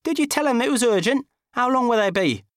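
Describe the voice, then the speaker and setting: Guy doing a dopey sulky best mate, high whiny voice all over the place, cocky, comic audiobook. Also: animated, fairly bright tone, no room sound, studio quality. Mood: puzzled, impatient. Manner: whining, protesting, quick to take offence. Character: sulky, indignant, dim young male best mate, cocky.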